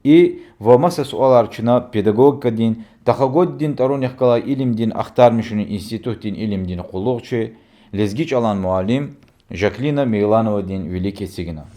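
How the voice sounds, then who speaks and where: A man reading a scripted news voice-over in an even delivery. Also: fairly low pitch, normal speed. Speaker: news narrator. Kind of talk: scripted narration.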